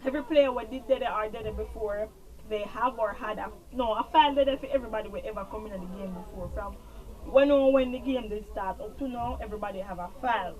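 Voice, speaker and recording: A woman's voice: medium pitch, a little fast, a little mellow, low-quality recording. A woman with a Jamaican accent recapping a TV series in a casual, rambling way, repeating herself and trailing off with filler. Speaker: TV show reviewer.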